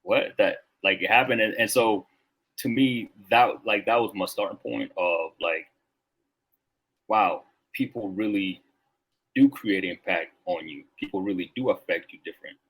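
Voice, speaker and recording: A man's voice: fairly low pitch, a little slow, fairly bright tone, slightly rough, poor audio. A man in his thirties from Rwanda, a Marine veteran guest talking casually and reflectively on a podcast, with lots of filler words.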